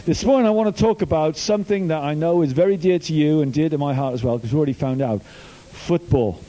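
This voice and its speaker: Man, youth minister, chatty sermon, mellow tone, slightly high voice. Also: fast, low-quality recording. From Wales. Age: older. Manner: chatty, jokey storytelling. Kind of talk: informal sermon.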